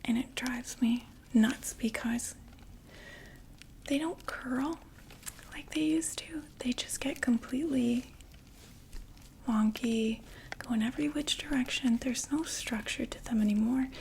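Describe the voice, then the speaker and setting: A woman voicing a chatty undead vampire makeup saleswoman in a soft, gently persuasive ASMR whisper. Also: a little high, measured pace, creaky, long pauses, slight background noise. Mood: hushed sales pitch. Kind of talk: ASMR roleplay.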